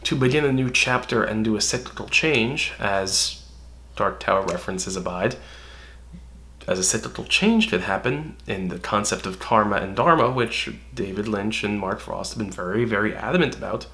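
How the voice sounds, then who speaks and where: A man's voice: on the low side, normal speed, sharp tone. A man co-hosting a casual analytical fan discussion, explaining his ideas with emphasis. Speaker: co-host, fan commentator.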